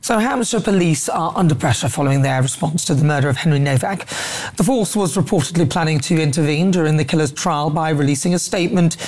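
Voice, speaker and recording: Brisk woman presenting the news. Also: low voice, a little mellow. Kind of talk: live TV news presenting and interviewing.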